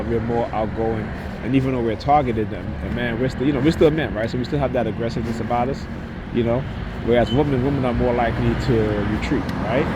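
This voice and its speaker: A man with a stuffy nose from a slight cold, talking in a rambling monologue that keeps ending on "right?" and restarting its sentences. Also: mid-range voice. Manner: frequent "right?" tags, many restarts. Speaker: vlogger. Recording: loud street noise behind.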